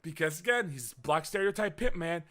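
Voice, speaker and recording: A man hosting a podcast, rambling through a profane, casual movie recap. He swings from loud outbursts to muttering, and his voice is wearing out. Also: a little high, animated, normal speed. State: losing his voice. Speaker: podcast host.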